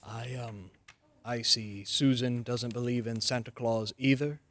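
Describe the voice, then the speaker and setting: A man plays a genial, earnest bachelor lawyer in old radio drama. His voice is medium in pitch, and he is friendly with slight hesitation. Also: steady tone, a little slow, a bit bright, close to an everyday voice.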